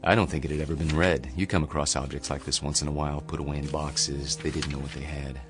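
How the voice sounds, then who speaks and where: Very deep-voiced, theatrical history professor. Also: male voice, level tone, music in the background. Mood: polite, guarded. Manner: theatrical, measured lecturing. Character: popular male history professor, dramatic lecturer with hidden past. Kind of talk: modern radio drama acting.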